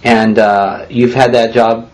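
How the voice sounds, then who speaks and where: A man's voice: medium pitch, level tone, slow pace. A man interviewing a guest for a newspaper, opening the talk and prompting with short questions.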